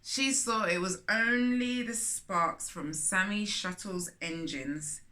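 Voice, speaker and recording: A woman's voice: mid-range voice, slow, bright, forceful tone. A woman hosting a children's storytime, reading a picture book aloud expressively with chatty asides.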